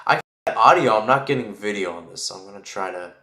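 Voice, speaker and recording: A man's voice: on the low side, animated, fast, sharp tone, reverberant. A man giving live play-by-play football commentary in an informal way, thinking aloud and correcting himself as he goes.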